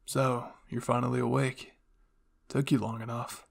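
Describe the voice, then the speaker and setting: A curt, scolding captain from an anime story, as played by a male reader. His voice is husky and gravelly, and his blunt orders hide an awkward care. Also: mid-range voice, level tone, unhurried. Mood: bored, curt. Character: gruff, blunt soldier captain, secretly caring. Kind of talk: anime fan fiction roleplay.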